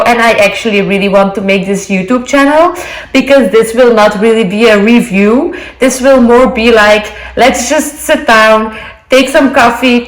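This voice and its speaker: Belgian Dutch woman gushing about books. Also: a little high. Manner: apologetic, gushing, searching for words. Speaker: book vlogger. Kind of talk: casual chat to camera. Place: living room floor. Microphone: earbuds. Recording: roomy home audio on earbuds.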